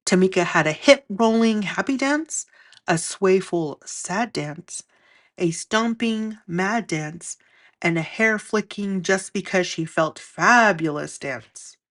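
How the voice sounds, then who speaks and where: A woman reading a children's picture book aloud as a storyteller, with expressive, deliberate phrasing and drawn-out emphasis. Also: mid-range voice.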